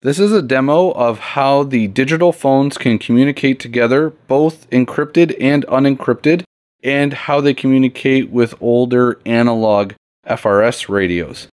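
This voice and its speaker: A man's voice: mid-range voice, unhurried, darkish tone, no echo, studio quality. A man hosting an instructional tutorial, explaining things step by step in an informal, plain-spoken way. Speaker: tutorial host. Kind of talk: casual instructional demo, step-by-step.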